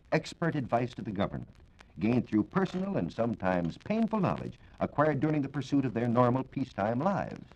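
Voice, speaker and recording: An American man giving a formal, measured, scripted science talk as a reporter on 1940s radio. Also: mid-range voice, darkish tone. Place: radio studio. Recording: dull, band-limited 1940s radio recording.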